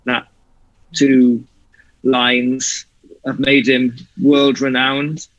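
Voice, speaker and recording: A man from Wales with a light Welsh accent talks in an earnest, reflective way. He speaks over a video call, and the audio sounds dry and a little muffled. His voice sits at an average pitch. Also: level tone, very slow, dry recording. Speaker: guest. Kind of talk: poetry reading and conversational interview.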